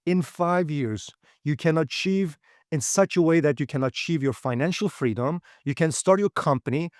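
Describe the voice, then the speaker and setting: Man, English, motivational presenter, blunt, slightly high voice, dry close sound. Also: moderate pace, no room sound. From Uyghur region. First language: Uyghur. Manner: direct, frequent "okay?" and "right?" checks, non-native grammar. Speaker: seminar presenter. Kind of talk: motivational talk.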